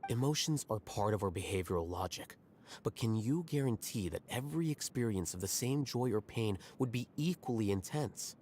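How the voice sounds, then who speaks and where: An actor playing a calm young male scholar in a video game, reasoning in a measured, articulate, lecturing way. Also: lowish voice, slight background noise, lightly acted. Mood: analytical, probing. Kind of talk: scripted video game dialogue.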